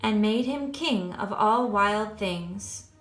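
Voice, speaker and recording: A woman who hosts read-alouds for children, talking and narrating a storybook in an expressive, deliberate way. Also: medium pitch. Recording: roomy echo with music behind.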